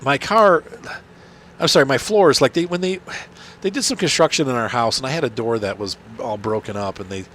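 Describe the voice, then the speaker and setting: A man's voice: medium pitch, fairly quick, fairly bright tone, a little hiss or hum. Nasal man with a cold, chatty gamer. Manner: rambling, chatty, many fillers and restarts. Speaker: gaming channel host. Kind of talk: casual commentary while playing.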